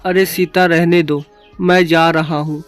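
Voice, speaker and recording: A man's voice: a little high, flat delivery, a little slow, clear, resonant tone, faint music behind, natural voice. A man voicing a poor, henpecked village fisherman in a cartoon story, meek and plaintive. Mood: weary.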